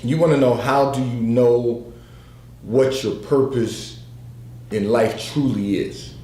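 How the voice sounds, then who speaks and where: A man hosting a faith advice livestream, giving spoken devotional guidance in a slow, repetitive preaching cadence, hoarse from allergies and clearing his throat. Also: mid-range voice, mellow, roomy sound, a little hiss or hum.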